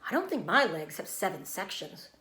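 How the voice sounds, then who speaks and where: A woman voicing an eager, boastful young male pig in an excitable, naive way for a children's storybook. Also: on the low side, moderate pace, reverberant. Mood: boastful, amazed.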